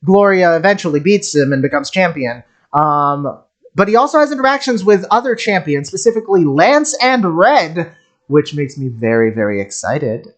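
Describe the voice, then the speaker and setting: A man streaming casual game commentary, excitable and jokey, rambling as he reacts. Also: on the high side, moderate pace.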